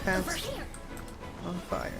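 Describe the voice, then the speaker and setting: A live streamer chatting casually while playing a game, thinking aloud in a halting way. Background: video game music.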